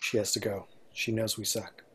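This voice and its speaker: A man's voice: on the low side, flat delivery, moderate pace, a bit bright, slightly rough. A man playing a pushy, anxious indie band frontman in an amateur script read. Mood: deflated. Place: home, group video call.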